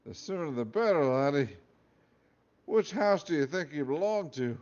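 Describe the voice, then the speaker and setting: A man voicing a rude male magical talking hat, high and loud in a rough stab at a Scottish voice. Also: lively intonation, a little slow. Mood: mocking. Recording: dull lo-fi recording.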